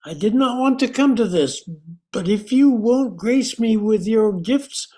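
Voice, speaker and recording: A man playing a desperate, menacing blocked novelist in an amateur radio play, pleading haltingly. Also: high voice, expressive, warm tone. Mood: cold menace.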